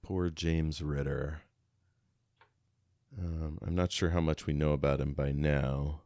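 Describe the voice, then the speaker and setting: A man with a very low, smooth voice talks through a book as a podcast co-host. He feels his way through his thoughts in a halting, conversational manner. The sound is dry and close, with no room around it. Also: level tone, mellow, no room sound. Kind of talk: casual book discussion with read-aloud excerpts.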